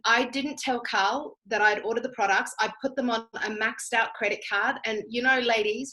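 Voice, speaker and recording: Australian female, fairly high and sharp-edged, conversational testimonial delivery with plenty of emphasis. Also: poor audio. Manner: chatty, emphatic, addresses listeners directly. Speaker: guest speaker telling personal story.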